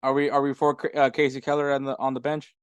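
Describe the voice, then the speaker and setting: A man with a clean, smooth voice at a middle pitch co-hosts a casual sports podcast. He talks informally and jokily about soccer. The sound is dry and close, with no room ring. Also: monotone, fast talker, no echo. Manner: informal, jokey.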